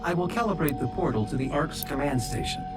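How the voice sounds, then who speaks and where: An actor playing a calm artificial intelligence guide in a video game, giving measured, precise and formal mission instructions. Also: male voice, fairly high pitch, over loud music, natural voice. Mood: calm, businesslike.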